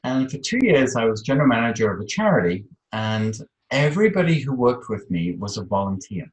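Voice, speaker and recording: A man with a British accent telling personal stories conversationally as an interview guest. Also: medium pitch. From Isle of Man, UK. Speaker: interview guest, social media expert. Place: online video call in a room. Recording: roomy, echoey video-call sound.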